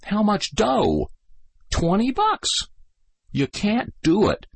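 A man voicing a naive, slow-witted young American soldier in a read-aloud story. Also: high voice, wildly swooping pitch, vocal fry, slightly rough, no echo, voice pushed up, exaggerated voice. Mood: doubtful. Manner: plain, slow-witted, wondering. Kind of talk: audiobook reading.

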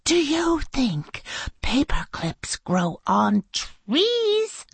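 A woman's voice: high-pitched, sing-song, very slow, fairly bright tone, dry recording, pitched above the performer's own voice, exaggerated voice. A woman reading a storybook aloud, voicing a stern schoolteacher who is exasperated and sometimes drops to a tense whisper. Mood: angry. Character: stern woman schoolteacher fussing over paperclips.

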